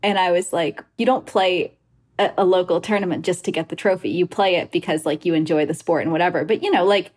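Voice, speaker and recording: A woman's voice: on the low side, fairly quick, a little mellow. Woman podcast host, chatty interviewer. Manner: chatty, retells hearsay with quoted asides. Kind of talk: scripted intro then casual interview.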